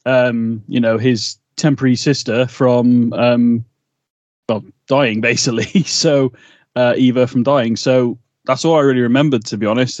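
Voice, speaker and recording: A man's voice: mid-range voice, a little mellow, no echo. A man co-hosting a podcast in casual chat, laid-back and hesitant, recorded on a muffled lo-fi mic. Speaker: podcast co-host.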